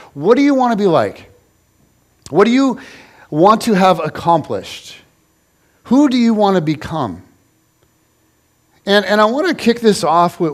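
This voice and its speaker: A man in his forties giving a casual sermon as a pastor in a church, joking with the congregation and restarting his sentences often. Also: a little high. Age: 40s. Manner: conversational and joking, with many restarts.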